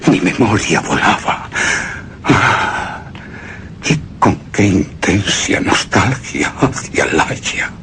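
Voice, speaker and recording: Haunted widower narrator, low voice, solemn gothic radio drama delivery, faint noise behind. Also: male voice, expressive, drawn-out words, fairly bright tone, heavily acted. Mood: feverish longing. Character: brooding, obsessive widower narrating his haunted past.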